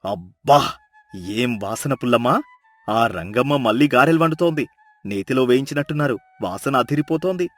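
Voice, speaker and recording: A man voicing a poor, greedy village husband in a storybook drama, talking in a lively, excitable way. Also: medium pitch, moderate pace, soft background music, lightly acted. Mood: hungry.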